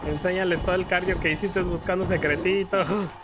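Mexican man, gaming commentary, casual and joking, somewhat high pitch, clear game music behind. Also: steady tone, fairly quick. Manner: joking, playful exclamations. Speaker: gaming video creator. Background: video game music.

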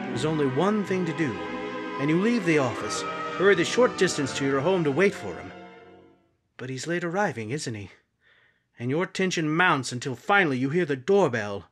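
A man narrates a radio mystery in ominous second person, needling the listener. His pitch rides up and down with drama. Loud music plays behind him. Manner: insinuating, ominous.

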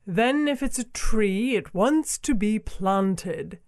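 A man voicing a genteel, self-satisfied female elephant in a storybook, speaking with measured, politely condescending thoughtfulness. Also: high voice, lively intonation, dry recording, clean studio sound, pitched above the performer's own voice, heavily acted. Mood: thoughtful, decided. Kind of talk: storybook character voice.

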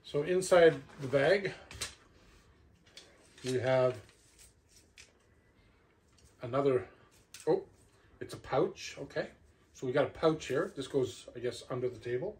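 Male, middle-aged, mid-pitched voice, casual product reviewer thinking aloud as he works; some background noise and clicks. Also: expressive, takes it slowly, long pauses, a little mellow. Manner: talks to himself while handling gear. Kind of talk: casual unboxing and hands-on review. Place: indoor living room.

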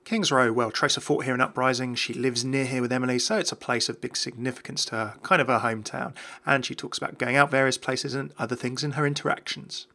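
Bright, creaky male gaming narrator. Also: medium pitch, steady tone, fast talker, hardly any pauses. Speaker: gaming video host. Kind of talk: scripted lore narration.

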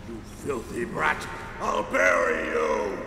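A giant cartoon demon gloats and rages theatrically, swooping wildly from menace to roar. His voice is gravelly and rings through a vast hall, with loud music behind. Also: deep voice, very animated, slow pace, exaggerated voice. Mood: furious. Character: gloating, raging giant male demon villain. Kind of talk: cartoon villain acting.

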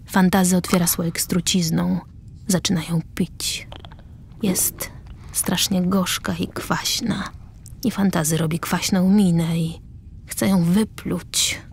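A woman's voice: on the low side, steady tone, takes it slowly, gravelly, a little hiss or hum. A woman reading stage directions as the narrator of a scripted radio play.

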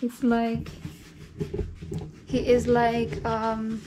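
Slow-talking young woman from the Philippines. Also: a little high, monotone. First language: Filipino. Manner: playful, giggly. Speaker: vlogger. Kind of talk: casual home vlog. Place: small home living room and bathroom, indoors. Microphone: handheld camera at arm's length. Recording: noisy home camera audio.

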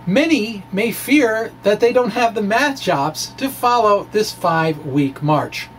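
Slow, deliberate man. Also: high-pitched, rough recording. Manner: deliberate, theatrical phrasing. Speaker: science blogger and presenter. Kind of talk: dramatic reading of a physics blog, explanatory. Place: small indoor room with bookshelves. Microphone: microphone on a stand in front of him. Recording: clear steady background noise.

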